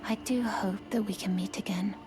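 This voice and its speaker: A woman playing a courteous, mysterious young servant of a noble house in a video game, with a hushed, breathy, formal delivery. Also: medium pitch, steady tone, normal speed, noisy background, natural voice. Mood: grateful, solemn. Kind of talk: video game character acting.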